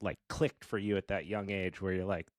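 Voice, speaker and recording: A man hosting a podcast, talking in a relaxed, slangy way. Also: low-pitched, moderate pace, no room sound. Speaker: podcast host. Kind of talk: casual podcast conversation.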